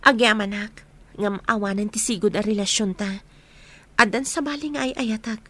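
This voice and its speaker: A woman's voice: mid-range voice, steady tone, normal speed, sharp tone. A young woman with an Ilocano accent acting a respectful, helpful young lady in a radio drama. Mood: hurt, earnest. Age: young adult.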